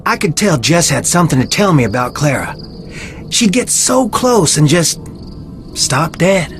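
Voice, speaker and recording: A woman playing a troubled, sleep-deprived young character in a video game, guarded and halting. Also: low-pitched, sing-song, soft background music. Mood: hesitant. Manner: halting, evasive.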